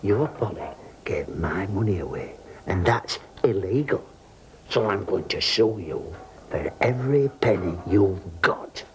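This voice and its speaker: A blunt, blustering Yorkshire father, as an actor plays him, gruff and quick to take offence. His pitch swings widely, and a hiss of background noise sits under the recording. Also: animated, lightly acted. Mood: indignant. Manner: gruff, blustering, dialect speech. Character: blunt stubborn Yorkshire working-class father. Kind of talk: scripted TV comedy acting.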